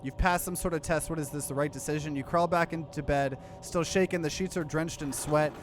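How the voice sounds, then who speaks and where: A man's voice: fairly high pitch, steady tone, a little fast, music in the background. A man doing a casual let's play, reading game text aloud and dropping in offhand comments. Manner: mixes plain narration with offhand asides.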